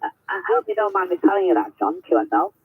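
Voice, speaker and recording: Ham radio operator, 50s man, husky, rambling and hesitant, somewhat high. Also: wildly swooping pitch, quick pace, phone-quality sound. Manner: chatty, hesitant, full of restarts. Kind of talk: ham radio contact chat.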